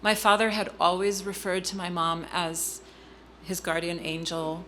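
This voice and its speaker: Bright-voiced woman telling a family story. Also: lowish voice, flat delivery, slight background noise. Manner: earnest, halting with frequent ums and restarts. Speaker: guest speaker. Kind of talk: personal story in a public interview.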